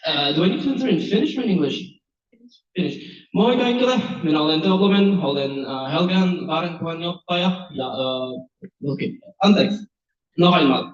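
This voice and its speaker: A man's voice: fairly high pitch, brisk. A man with a French accent, native in French, speaks as a candidate on a panel. He is informal and candid, with a joking, self-deprecating streak. The sound is dull and roomy, picked up at a distance. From France. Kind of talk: informal spoken answers to panel questions. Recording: dull, echoey room, distant mic.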